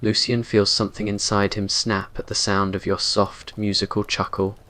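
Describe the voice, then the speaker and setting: A man's voice: fairly low pitch, level tone, normal speed, sharp tone. A man reading scripted romantic roleplay narration in an ASMR story style.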